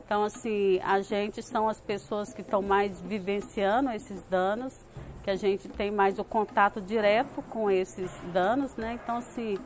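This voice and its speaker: A woman's voice: medium pitch, steady tone, normal speed, slight background noise. A Brazilian woman speaking as a community member in interview testimony.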